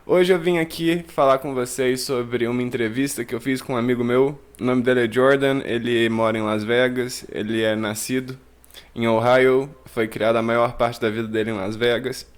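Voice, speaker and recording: A man's voice: mid-range voice, fairly even intonation, normal speed, clear, resonant tone. Playful man hosting a podcast. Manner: playful, switches between Portuguese and English. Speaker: English teacher and host. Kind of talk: casual podcast interview.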